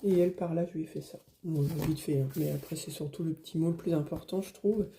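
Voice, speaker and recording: A hoarse middle-aged woman chatting casually to her phone at her desk, rambling with lots of fillers and restarts. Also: deep voice, steady tone, quick pace, dark tone, a little hiss or hum. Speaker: vlogger. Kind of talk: casual chat.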